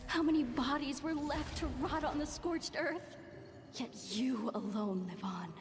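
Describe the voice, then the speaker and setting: Game nightmare spirit: highish female voice, bitter accusation, long reverb, music behind. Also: a little slow. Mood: accusing. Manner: bitter, reproachful. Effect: long dreamlike reverb. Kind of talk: dramatic video game voice acting.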